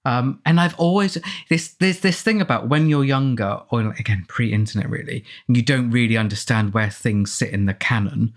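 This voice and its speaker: Male commentary speaker, medium pitch, conversational and reflective, tends to wander through his points. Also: normal speed, hardly any pauses. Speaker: author and film commentary speaker. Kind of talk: casual chat, film commentary.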